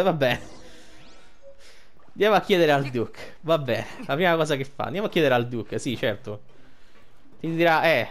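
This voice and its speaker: A man giving casual, colloquial game commentary, rambling and thinking aloud. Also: mid-range voice. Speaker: gameplay video creator. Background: game music.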